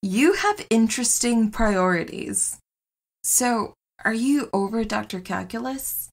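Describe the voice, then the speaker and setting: A woman's voice: a little high, expressive, slow pace, creaky, full character voice. A woman playing a sensible, reluctant semi-retired superhero with a dry, grudging manner in an audio comedy.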